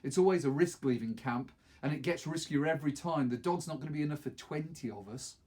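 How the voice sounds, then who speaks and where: A man's voice: on the high side, brisk, darkish tone, close to an everyday voice. A man voicing a practical, decisive teenage boy leader in a storybook reading. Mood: matter-of-fact.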